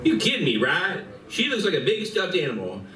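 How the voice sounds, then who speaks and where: Man playing a high, vain animal celebrity, echoey room. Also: wildly swooping pitch, brisk, noisy background. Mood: smug, dismissive. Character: vain, image-obsessed male furry celebrity animal. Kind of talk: live convention radio play reading. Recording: distant, echoey convention room.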